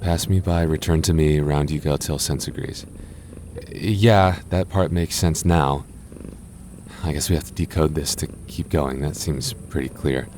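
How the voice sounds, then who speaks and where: A man voicing a gentle, shy goth boyfriend in an ASMR roleplay, soft-spoken and stammering as he reassures his listener. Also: extremely low pitch, unhurried, slight background noise. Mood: puzzling. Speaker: voice actor.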